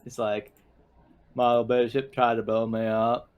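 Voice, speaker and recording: A man's voice: mid-range voice, level tone, unhurried, clean tone, muffled recording. A man talking casually as a player in a tabletop roleplaying game. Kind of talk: casual chat.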